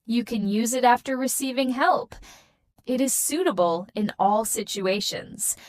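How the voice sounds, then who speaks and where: A woman teaching a scripted beginner English lesson, speaking in slow, carefully spaced, patterned sentences. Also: a little high, no echo.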